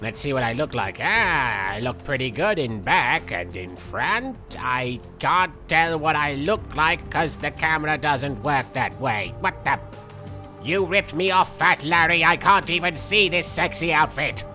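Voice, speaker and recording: An excitable male cartoon villain comments on a video game. The voice is piercingly bright and somewhat high, with a theatrical, petulant and vain delivery. Faint music plays behind. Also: unhurried. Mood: indignant, excited. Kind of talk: in-character let's play commentary.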